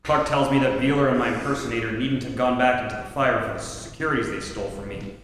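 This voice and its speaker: An actor playing a teasing, avuncular crimefighter off duty in an old-time radio play, chummy and slangy. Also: male voice, medium pitch, fairly even intonation, fast, a bit bright, natural voice. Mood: earnest. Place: theatre stage, live performance. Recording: echoey hall stage, light noise.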